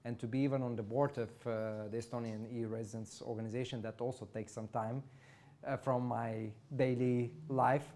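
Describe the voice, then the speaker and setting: Mellow-voiced Bulgarian-born man moderating a panel. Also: mid-range voice. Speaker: event moderator, e-resident community board member. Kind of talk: panel event remarks. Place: event venue, live audience.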